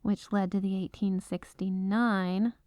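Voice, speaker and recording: A woman's voice: medium pitch, fairly even intonation, unhurried, a little mellow, no echo, natural voice. Dry-miked, exasperated female legal advisor, acted by a woman. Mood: businesslike, explaining. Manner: businesslike, wry, exasperated. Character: exasperated, dutiful head legal advisor at Santa's North Pole. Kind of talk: scripted comedic audio roleplay monologue.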